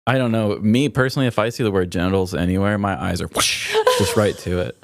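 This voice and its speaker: A man's voice: lowish voice, quick pace, creaky, dry recording, studio quality. A man co-hosting a podcast, chatting casually in a laid-back, joking and reassuring way. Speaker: podcast co-host.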